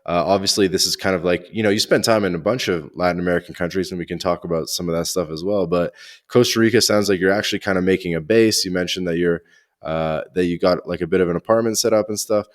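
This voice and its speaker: A man's voice: low voice, fast. A man hosting a podcast interview, chatty and enthusiastic, with lots of "uh" and "like". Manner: enthusiastic, chatty, hesitant fillers like "uh" and "like". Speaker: podcast host. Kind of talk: casual interview chat.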